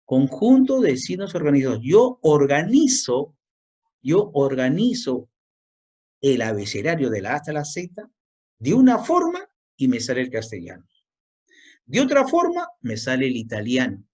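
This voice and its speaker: A man's voice: mid-range voice, darkish tone, husky. A male teacher giving a language lesson, repeating key points and quizzing the student with questions. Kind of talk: classroom lecture.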